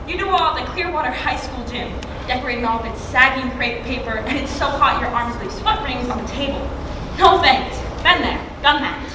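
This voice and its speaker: A woman's voice: high voice, brisk, distant mic, noisy background, natural voice. A young woman playing an outspoken, sardonic teenage girl in a school play, recorded on an echoey stage.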